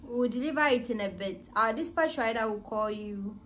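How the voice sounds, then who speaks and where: A woman's voice: a little high, normal speed, fairly bright tone, a little hiss or hum. A young woman in her twenties with a Ghanaian accent, making a polite but insistent customer-service style phone call at arm's length from a phone mic in a living room. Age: 20s. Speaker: prank caller, vlogger. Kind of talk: phone prank call posing as a delivery service. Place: small living room, indoors.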